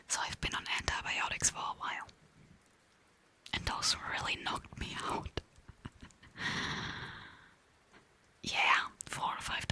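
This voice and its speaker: A woman's voice: bright, forceful tone, poor audio. A woman streaming in an ASMR whisper, chatting playfully with viewers while still tired from recovering from an illness.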